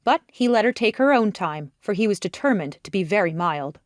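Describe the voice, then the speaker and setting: A woman's voice: medium pitch, animated, brisk, dry recording, clean studio sound. A woman reading scripted literary narration as an audiobook narrator.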